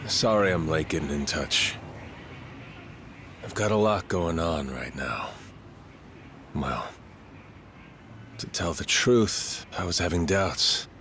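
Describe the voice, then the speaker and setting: Weary, guarded gangster. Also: male voice, low-pitched, slow pace, long pauses, a bit bright, slight background noise. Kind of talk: video game cutscene dub. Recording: dialogue over game music.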